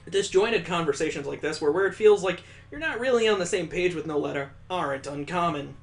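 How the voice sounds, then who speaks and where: A man's voice: a little high, a little fast, vocal fry, faint music behind. A man reading a visual novel aloud as a let's play host, adding sarcastic, playful asides.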